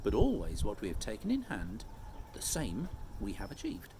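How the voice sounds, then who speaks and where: A man's voice: lowish voice, wildly swooping pitch, normal speed, background noise. A man voicing noble young storybook kings in solemn, formal, archaic courtly speech. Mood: resolute.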